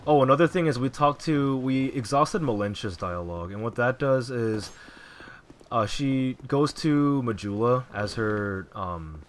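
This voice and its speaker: A man quietly narrating his video game playthrough in a low voice, rambling casually with fillers. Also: measured pace, slight background noise. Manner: relaxed, rambling, frequent fillers. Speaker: let's play gamer. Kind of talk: casual gameplay commentary.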